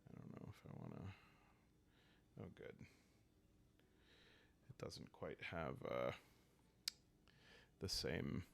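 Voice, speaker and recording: A man with a low voice full of creaky fry talks softly and calmly, as if helping listeners drift off. He hosts a solo game walkthrough, pausing often as he thinks aloud. The sound is close and dry. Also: no room sound. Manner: calm, soft-spoken, hesitant pauses while thinking aloud. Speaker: host of a solo roleplaying game series. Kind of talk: calm, rambling sleep-aid game walkthrough.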